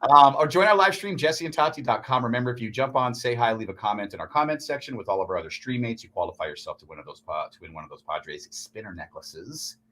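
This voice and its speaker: Loud playful male radio host. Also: medium pitch, animated, fast talker, few pauses, slight background noise. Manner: loud, playful, laughs often. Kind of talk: casual morning-show banter.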